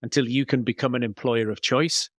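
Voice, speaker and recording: A man speaks as an interview guest in a coaching role. His pitch is average for a man, and he explains things conversationally, step by step. The sound is dry, with very little room. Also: steady tone, fairly quick, dry recording. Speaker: business coach, podcast guest.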